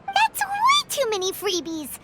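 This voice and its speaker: A woman voicing a tiny, cheerful, childlike fairy companion in a video game, squeaky and excitable. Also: a little slow, heavy background noise, full character voice. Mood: excited, amazed. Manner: speaks of self in third person, excitable. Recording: loud music underneath.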